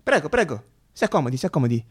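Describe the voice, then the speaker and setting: A man acts out an excitable eye doctor in a radio monologue. His voice rides high and rough, stammering and doubling back on his words with flustered eagerness. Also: racing. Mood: nervous, fussy. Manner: stammering, repeating himself. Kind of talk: dramatic stage monologue for radio.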